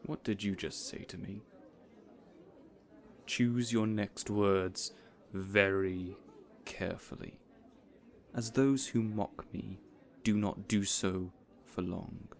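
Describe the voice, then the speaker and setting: A man playing a cold, contemptuous supervillain in an audio roleplay, speaking in a haughty, deliberate, threatening manner. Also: lowish voice, gravelly. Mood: menacing. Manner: menacing, slow, haughty, deliberate.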